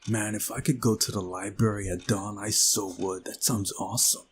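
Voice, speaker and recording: A man's voice: lowish voice, steady tone, normal speed, a little hiss or hum. A man reading a visual novel aloud as narration, with casual asides of his own. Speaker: let's play narrator. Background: game music.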